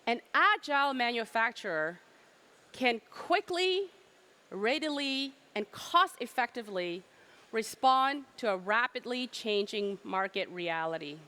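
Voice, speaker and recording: A woman's voice: fairly high pitch, animated, measured pace, sharp tone. A middle-aged woman from Cambodia giving an emphatic keynote presentation at a trade fair and asking rhetorical questions.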